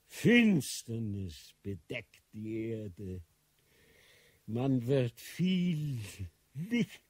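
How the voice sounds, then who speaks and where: An aged, remorseful Grand Inquisitor in a radio drama. His voice is husky and fairly high for a man, and he speaks very slowly, solemn and commanding, with fervent outcries. The sound is dry and close. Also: no room sound, exaggerated voice. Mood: agitated. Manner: solemn, halting, declamatory, rising to outcries. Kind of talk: German radio drama acting.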